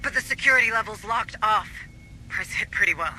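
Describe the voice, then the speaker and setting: An actress playing a resolute, defiant commander giving blunt orders to marines in a video game. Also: female voice, medium pitch, animated, fairly quick, bright, forceful tone, low-quality recording. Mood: tense, frustrated. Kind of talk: video game military dialogue.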